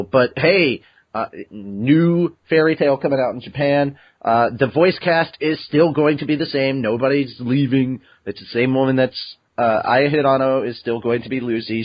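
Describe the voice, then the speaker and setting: A man hosts a fan podcast in loose, casual chat, excitable and joking as he rambles through plugs and news. His voice is husky. The audio is dull and lo-fi. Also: medium pitch. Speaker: podcast host.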